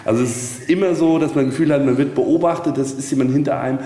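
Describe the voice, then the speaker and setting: A man's voice: medium pitch, quick pace, warm tone. A middle-aged man explaining casually as a castle guide in an interview, in a rambling, circling way. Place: reverberant space at a castle. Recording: echoey hall, faint ambient noise.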